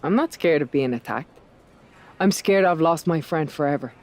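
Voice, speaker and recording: A woman's voice: low-pitched, normal speed, a little mellow, lightly acted. A headstrong female wrestler in a video game story , played by a woman, speaks. Her delivery is firm and earnest. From Dublin, Ireland. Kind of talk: video game cutscene dialogue.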